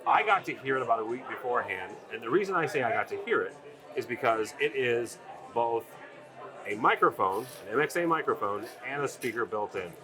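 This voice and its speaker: Male trade show interviewer, medium pitch, informal and folksy, recorded amid a noisy crowd. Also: expressive, fairly quick. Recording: crowd chatter at busy event. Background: crowd at a sales meeting.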